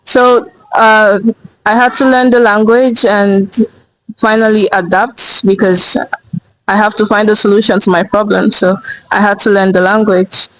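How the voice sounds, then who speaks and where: A teenage high school student from Nigeria answering interview questions, starting haltingly and then explaining steadily. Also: level tone, a bit bright, no room sound, rough recording. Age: teens.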